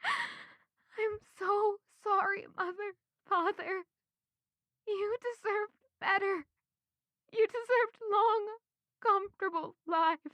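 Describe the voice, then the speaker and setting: An actress playing a grieving, self-blaming young servant woman in an emotive, halting audio drama. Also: very high voice, lively intonation, sharp tone, no echo, full character voice. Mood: anguished, self-loathing. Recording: music under the voice. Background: music.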